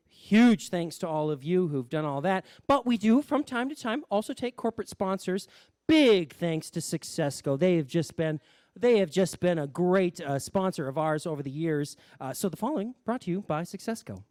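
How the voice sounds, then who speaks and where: A woman hosting a radio variety show with halting, conversational stage patter. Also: low voice, a little mellow, no room sound. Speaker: show host and performer.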